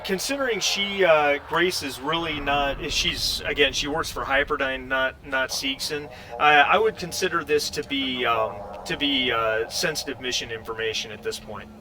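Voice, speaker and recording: A man speaking haltingly and deliberately as game master, narrating a tabletop role-playing game. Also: medium pitch, background noise.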